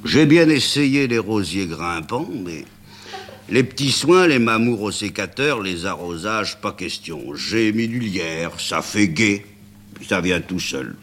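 Brief: irritable chauffeur, gruff and sarcastic, low voice with big swings, as a man reads him, faint hum. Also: expressive, slow pace, fairly bright tone. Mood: grumbling. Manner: grumbling, sarcastic, colloquial. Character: grumpy, hot-tempered male taxi driver.

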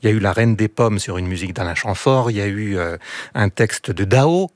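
A man hosting a radio interview, asking measured, probing questions. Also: fairly low pitch, animated, no room sound. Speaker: interviewer, host.

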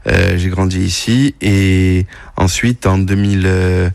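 A man's voice: low-pitched, flat delivery, slow pace, vocal fry, a little mellow, no echo. A young man from Corsica, a farmer, answering radio interview questions in a hesitant way with many fillers. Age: young adult.

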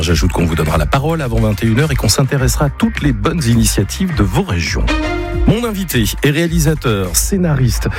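The animated Frenchman you'd hear welcoming a guest on an evening radio show, chatty and keen over faint music. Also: lowish voice. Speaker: radio host. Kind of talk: live radio interview. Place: radio studio.

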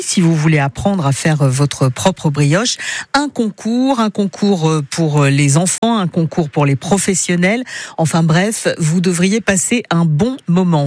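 A woman's voice: on the low side, darkish tone, no room sound. A woman presenting on local radio, reading scripted announcements and a contest question from a studio. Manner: smooth, announcing tone. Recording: dry studio sound.

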